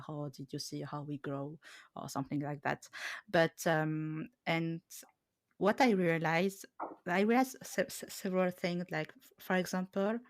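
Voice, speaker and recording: A woman with a French accent hosting a podcast, talking casually with many pauses and restarts. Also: low-pitched, vocal fry, dry recording, studio quality. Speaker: podcast host.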